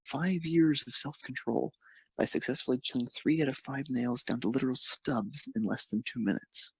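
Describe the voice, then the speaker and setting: Creaky-voiced man narrating fan fiction. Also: medium pitch, moderate pace, no echo, rough recording. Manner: occasional stumbles while reading.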